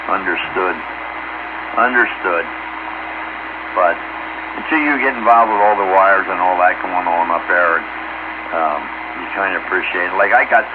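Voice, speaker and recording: Man, easygoing folksy talk, casual radio chat, dull band-limited sound, very loud static. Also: low-pitched. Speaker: amateur radio operator. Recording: muffled radio transmission, heavy static.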